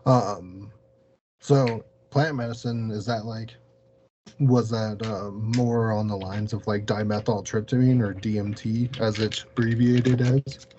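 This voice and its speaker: Muffled noisy audio, man, lowish pitch, interview host, casual, tentative, self-correcting. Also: fairly even intonation, unhurried, warm tone. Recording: dull lo-fi mic with clear noise.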